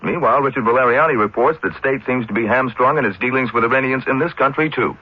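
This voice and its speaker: Brisk, husky American male newscaster. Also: medium pitch, no echo. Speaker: radio news anchor. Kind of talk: scripted network news bulletin. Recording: dull vintage AM radio broadcast.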